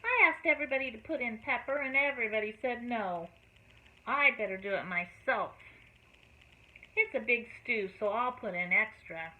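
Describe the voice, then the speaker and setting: A woman voicing a busy country mother who calls out high and loud across the yard to her family. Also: moderate pace, lightly acted. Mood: resolute. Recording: tinny phone-like audio, faint noise.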